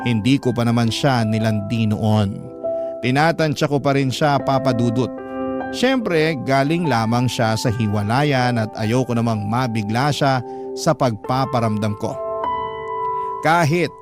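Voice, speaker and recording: A man's voice: medium pitch, close to an everyday voice. An actor with a Filipino accent playing a wistful, hopeful man narrating his lost love in a radio drama. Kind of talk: scripted first-person radio drama narration.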